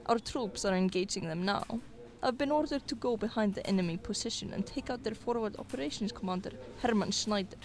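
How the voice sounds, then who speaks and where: A woman's voice: mid-range voice, a little fast, a little mellow, lightly acted. A woman playing a cool, commanding Soviet sniper lieutenant in a radio drama. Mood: businesslike.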